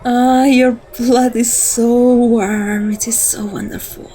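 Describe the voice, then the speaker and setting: Slow, mellow, seductive vampire woman, acted out by a woman. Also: fairly high pitch, steady tone. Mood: indulgent, teasing. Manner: coaxing, teasing, intimate endearments. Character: flirtatious, teasing dominant female vampire, seductive and playful. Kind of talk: ASMR roleplay.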